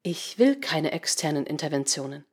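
A woman narrator voicing a naive, emotionless artificial intelligence in an audiobook, with a measured, clipped, stilted delivery. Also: low voice, very animated, darkish tone, breathy edge, full character voice. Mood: matter-of-fact.

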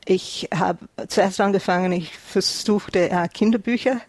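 A woman's voice: mid-range voice, measured pace, darkish tone, no room sound. Hesitant Australian woman speaking German. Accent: Australian English. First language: English. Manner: hesitant, many fillers and restarts, searching for words. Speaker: author and police officer. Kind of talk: interview guest.

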